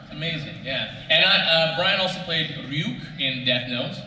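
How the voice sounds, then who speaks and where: A man with a very bright, sharp voice talks casually as a panelist, loose and slangy. His voice rings heavily with echo from a big hall, picked up at some distance. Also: on the high side, moderate pace. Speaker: voice actor panelist. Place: large convention panel room. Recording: very echoey hall, distant mic, faint noise.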